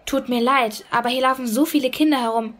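A shifty fruit seller in an audio drama, with a fairly high voice. She is hesitant and evasive, like a reluctant accomplice. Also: fairly even intonation, fast talker, a bit bright. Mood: evasive, polite. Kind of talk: German audio drama acting.

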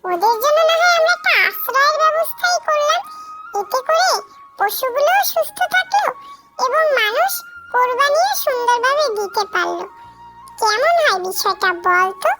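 A woman's voice: very high voice, expressive, normal speed, over loud music. A woman voicing a thoughtful, kind little village boy in a cartoon, speaking in an earnest, eager manner. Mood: earnest, concerned. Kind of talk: cartoon story acting.

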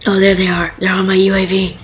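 A woman's voice: mid-range voice, quick pace, husky, muffled recording. Sniffly woman chatting over gameplay. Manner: excitable, chatty, cries out during fights. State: sniffly with a cold. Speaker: gameplay commentator. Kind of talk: casual live gameplay commentary. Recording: faint gunfire and game noise behind.

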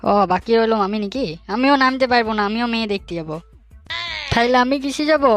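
A man's voice: very high voice, quick pace, a bit bright, dry recording, faint music behind. A man voicing a gullible, anxious young man in a comic cartoon, pleading and eager, with a rural West Bengal accent. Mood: protesting.

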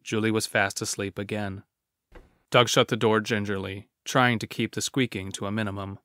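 A man's voice: lowish voice, fairly even intonation, moderate pace, fairly bright tone, slight background noise. A man narrating a scripted horror story. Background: music.

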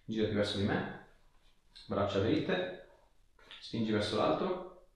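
A man in his thirties works as a chiropractor, talking casually with a patient. His voice sits fairly low. The small treatment room is echoey, with a faint noise behind him. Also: lively intonation, takes it slowly. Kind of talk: casual clinical instructions and chat with a patient.

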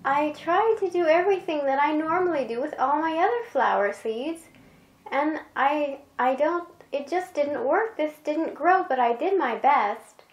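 Woman as an earnest, high-voiced little boy gardener. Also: a little slow, echoey room. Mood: nervous, apologetic. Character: earnest, puzzled young boy who loves gardening.

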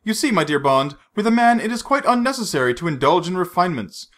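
A man reading a storybook, voicing a suave, menacing male villain who mocks his captive with unhurried threats. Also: sits high, sing-song, fairly bright tone, pitched above the performer's own voice. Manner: silky, mocking, unhurried threats.

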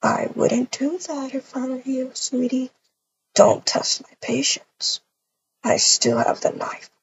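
A woman voicing a possessive, menacing male yandere captor in an amateur roleplay, talking softly and slowly in a husky whisper. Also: high voice, a little hiss or hum, exaggerated voice. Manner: soft, slow, breathy whispering. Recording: dull lo-fi recording.

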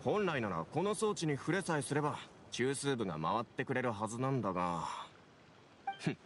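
Animated, earnest young station keeper voiced by a man. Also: medium pitch, fairly quick, slight background noise, close to an everyday voice. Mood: exasperated. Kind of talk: anime game voice acting. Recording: loud game music behind.

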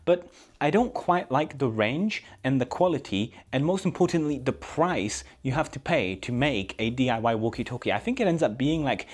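A man in his thirties presenting a scripted maker explainer in a dry, tongue-in-cheek manner. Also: mid-range voice. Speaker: maker channel host. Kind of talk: scripted explainer narration to camera.

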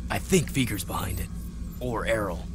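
A man voices a young, hard-edged hero in an action game. He speaks in a fairly low voice, short and wry, with music behind him. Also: expressive, moderate pace, natural voice. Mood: confident, terse. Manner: terse, wry. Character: tough young male hero, confident, terse. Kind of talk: action game cutscene acting.

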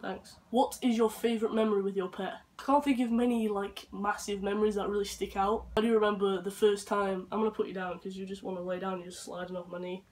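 Hoarse young Englishman chatting casually. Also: high voice, level tone, fast, hardly any pauses. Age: young adult. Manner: chatty, rambling, talks softly to his dog. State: hoarse from flu and chest infection. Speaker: vlogger. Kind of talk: casual chat. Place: bedroom, indoors.

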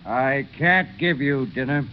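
Muffled old-radio actor as curt innkeeper. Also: male voice, medium pitch, unhurried, a little hiss or hum, lightly acted. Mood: guarded, refusing. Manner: curt, firm refusals. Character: curt, unwelcoming male French innkeeper. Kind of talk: 1930s radio drama acting. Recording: dull old 1930s radio recording.

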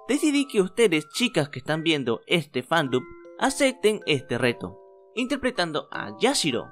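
A man, casually talking to his viewers as a fan dubber. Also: on the high side. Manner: relaxed, friendly address to viewers. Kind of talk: casual video outro.

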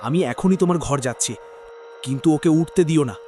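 A man playing an earnest young man who warns and explains gravely in a cartoon drama. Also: fairly high pitch, animated, brisk, mellow, slight background noise, lightly acted. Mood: wary urgent. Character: earnest, urgent young man warning his friend.

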